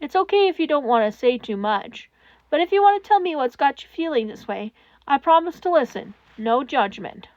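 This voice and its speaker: A woman voicing a kind, earnest sixteen-year-old boy who answers a crisis hotline in a story, gentle and reassuring. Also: high voice, expressive, normal speed, no echo, muffled recording.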